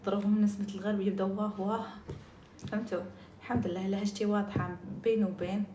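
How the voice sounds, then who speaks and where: A woman's voice: mid-range voice, little pitch movement, fast talker, reverberant, background noise. A woman with an Algerian accent chatting casually on a live stream, jumping between topics and mixing in French and English words.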